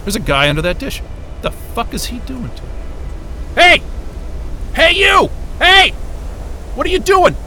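High-voiced, excitable, blunt scientist. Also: male voice, measured pace, pauses often, faint music behind, exaggerated voice. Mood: alarmed, shouting. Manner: sarcastic, blunt, agitated. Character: excitable, foul-mouthed, irritable male astrophysicist at polar station. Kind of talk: naturalistic audio drama acting.

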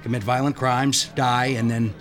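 A level-headed county detective, played by a man, dry and matter-of-fact in a middle-pitched voice. Music plays clearly behind this radio drama. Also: level tone, moderate pace, lightly acted.

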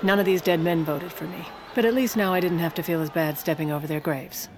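A woman's voice: lowish voice, brisk, noisy background, lightly acted. An actress playing a scheming, sardonic former First Lady who narrates in a dry, self-justifying, theatrical way. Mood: wry, brooding. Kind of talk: scripted audio-drama narration and dialogue. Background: music.

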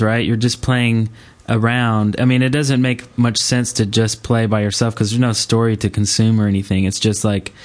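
A man in casual podcast chat as a host, with an easygoing, slangy way of talking. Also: lowish voice. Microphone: Skype call.

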